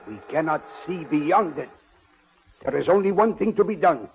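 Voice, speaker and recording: A decisive commander who speaks in grave, measured tones. His voice sits slightly high. The old radio recording is muffled with a faint hiss. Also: lively intonation. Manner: grave, measured theatrical delivery. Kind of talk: 1930s radio acting.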